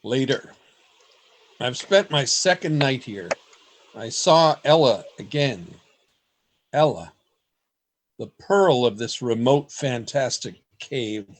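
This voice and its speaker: An earnest, anxious man acted by a man tells his story as if from a diary in a radio drama. His voice is rough-edged and unhurried. The pitch moves widely, giving it a theatrical, deliberate feel. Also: lively intonation. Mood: haunted, wistful. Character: earnest, anxious man trapped among secret store dwellers. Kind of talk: radio play acting with diary narration.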